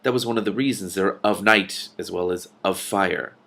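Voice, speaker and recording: A calm female dragon from a fantasy storybook, read by a man. The voice is fairly low and composed. She explains things in a patient, matter-of-fact way. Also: normal speed, creaky, exaggerated voice. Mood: calm, reassuring.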